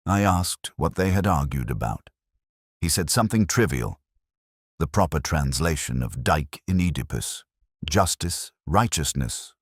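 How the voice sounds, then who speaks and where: A man with an extremely low voice narrates as a melancholy, cerebral police inspector. His delivery is measured and literary, with expressive rises and falls in pitch. The recording is dry and close, suited to a brooding first-person detective story. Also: husky, dry recording.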